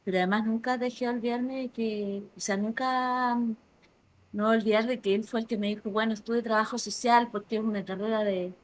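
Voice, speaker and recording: Guest, woman in her 40s, Chilean accent, soft-spoken and thoughtful, halting delivery. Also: on the high side, fairly even intonation, poor audio. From southern Chile. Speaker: interview guest. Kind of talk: casual interview conversation.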